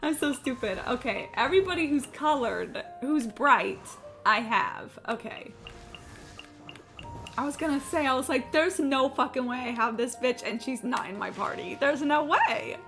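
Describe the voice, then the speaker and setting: A woman's voice: sits high, lively intonation, normal speed, a bit bright. A woman chatting casually on a gaming livestream in a rambling, sweary, self-deprecating way. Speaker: live game streamer. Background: game music.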